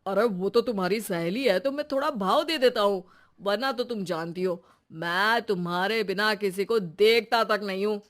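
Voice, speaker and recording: A woman's voice: medium pitch, normal speed, close to an everyday voice. A woman playing a flirtatious, over-eager and sheepish married man in a comic story, stammering at times. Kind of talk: acted comic story dialogue.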